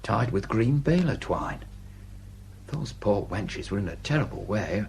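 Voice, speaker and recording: A man's voice: on the low side, big pitch swings, a little slow, vocal fry, darkish tone, a little hiss or hum, heavily acted. A man acting a deferential, plain-spoken village sergeant with a Northern English accent in a radio drama. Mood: grim, hushed.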